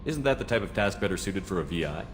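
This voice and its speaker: A man playing a steady, resolute starship commander in a video game cutscene. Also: low voice, quick pace, a little hiss or hum. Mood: polite, curious.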